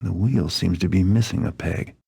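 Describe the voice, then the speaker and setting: An actor playing a cynical, world-weary master thief in a video game, gravelly, dry and understated. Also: male voice, normal speed, mellow, heavily acted. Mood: dry, sardonic. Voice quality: gravelly, low. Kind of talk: video game narration and dialogue.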